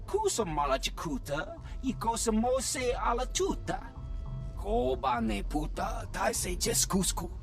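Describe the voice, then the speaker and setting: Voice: medium pitch, very animated, slow pace, creaky, soft background music, full character voice. An actor voicing an alien video game character who talks theatrically in an invented tongue. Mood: animated.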